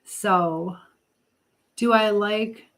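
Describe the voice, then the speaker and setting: Female livestream host, middle-aged, mid-pitched voice, informal and motherly, speaking straight to her viewers. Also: fairly even intonation, very slow, fairly bright tone. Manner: motherly, advising, addresses viewers directly. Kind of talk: casual live chat, answering viewers' comments.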